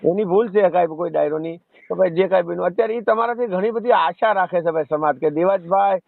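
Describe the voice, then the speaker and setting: Man, Gujarati accent, quick speech, higher-than-average voice, persuasive, conciliatory, narrow phone-line sound. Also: gravelly, dry recording. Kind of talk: informal phone conversation. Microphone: telephone call.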